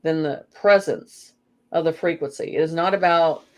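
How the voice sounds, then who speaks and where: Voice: medium pitch, moderate pace. A guest in a spiritual conversation, talking in a meandering way with frequent "you know" fillers.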